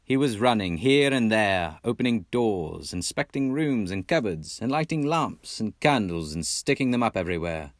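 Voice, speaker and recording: Low-voiced man narrating, very dry sound. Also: animated, normal speed, hardly any pauses, no room sound. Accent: put-on British. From Texas, United States. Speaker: amateur audiobook reader. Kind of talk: expressive storybook narration.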